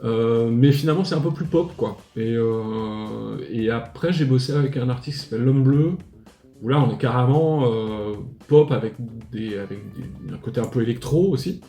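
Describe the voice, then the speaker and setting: A man's voice: mid-range voice, moderate pace, dark tone, roomy sound, soft background music. A man in his forties from Paris, a musician guest talking casually with many hesitations and restarts. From Paris, France. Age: 40s. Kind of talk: casual interview chat.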